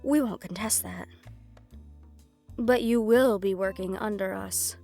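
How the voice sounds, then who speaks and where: A woman's voice: medium pitch, slow, gravelly, warm tone, soft background music. A woman voicing a composed, condescending female mafia underboss in an audio roleplay, mock-polite and coolly threatening. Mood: coolly businesslike.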